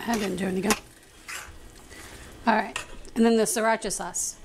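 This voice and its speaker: A woman's voice: steady tone, moderate pace, noisy background. A middle-aged woman chatting casually as she cooks in a home kitchen, recorded by a camera across the room. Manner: chatty, talks to a grandchild in a high sing-song voice. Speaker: home cook and show regular. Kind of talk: casual cooking vlog chat. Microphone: camera on a tripod across the kitchen.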